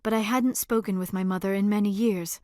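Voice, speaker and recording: An actress voicing an earnest, gentle young alien woman scientist in a video game, speaking formally and with measured, careful diction. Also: mid-range voice, steady tone, no echo, clean studio sound, close to an everyday voice. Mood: troubled, reflective. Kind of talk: scripted video game character dialogue.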